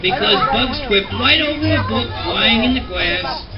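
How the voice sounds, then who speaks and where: A man narrating a read-along storybook record in a gentle, sing-song storyteller manner. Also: very high-pitched, expressive, normal speed, a loud crowd. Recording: dull lo-fi old record audio with music.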